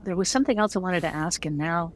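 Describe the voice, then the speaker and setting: A woman's voice: lowish voice, quick pace, slight background noise. A woman hosting an interview in an informal, playful, quippy style. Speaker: interview host.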